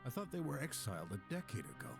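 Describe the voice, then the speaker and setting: A man as an earnest, animated young detective. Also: mid-range voice, quick pace, vocal fry. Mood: insistent. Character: earnest, stubborn, kind-hearted young male detective. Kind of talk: video game voice acting. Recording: game music behind the voice.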